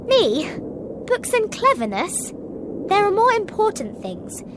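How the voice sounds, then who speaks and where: High-voiced clever schoolgirl witch, English accent. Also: big pitch swings, slow pace, noisy background, exaggerated voice. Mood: earnest. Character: clever bossy schoolgirl witch, earnest and anxious. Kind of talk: video game cutscene acting.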